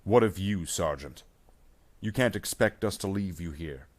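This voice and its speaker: A man voicing a loyal, bold space marine in a story, forcefully calling out to his comrades. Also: low-pitched, expressive, measured pace. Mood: protesting.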